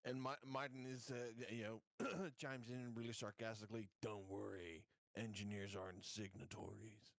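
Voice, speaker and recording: A man's voice: fairly low pitch, level tone, moderate pace, few pauses, dry recording, studio quality. A man playing a tabletop game, casually describing and speaking for his character.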